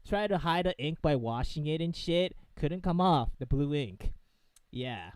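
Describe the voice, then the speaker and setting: A man's voice: fairly high pitch, expressive, a little slow, clean tone, no room sound. A man chatting casually as a gaming co-host, slangy and sweary, talking like a bro. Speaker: co-host reacting to a game. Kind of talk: casual banter with profanity.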